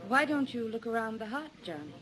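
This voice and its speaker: A woman's voice: fairly high pitch, big pitch swings, brisk, faint music behind. An actress playing a curious young woman who asks questions in a 1950s radio drama. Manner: questioning, soft-spoken.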